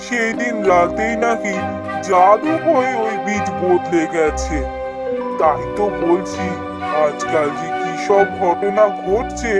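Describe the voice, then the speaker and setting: A man's voice: sits high, takes it slowly, over loud music. A man playing a wily village woodcutter in a cartoon fairy tale, feigning innocence in a theatrical, pleading way. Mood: feigned worry.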